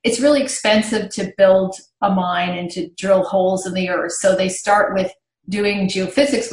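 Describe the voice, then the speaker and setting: Canadian-raised woman explaining, in an echoey room. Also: medium pitch. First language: bilingual French and English from childhood. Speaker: podcast guest, tech marketing executive. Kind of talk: conversational interview answers, explaining.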